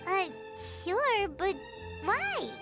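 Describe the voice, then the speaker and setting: A very high, squeaky little fairy companion from a video game. Her childlike voice swings wildly up and down with excitable energy. Music plays clearly behind her. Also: extremely slow, heavily acted. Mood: surprised. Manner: talks of herself in third person, exclaims.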